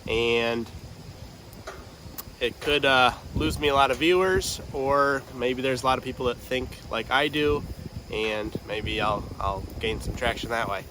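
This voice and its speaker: A man's voice: mid-range voice, a little slow. A man with a head cold, stuffy and nasal, talking casually and hesitantly with many ums while outdoors in a windy pasture, recorded on a camera's built-in mic. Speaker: farm vlogger. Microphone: camera mic, no external mic.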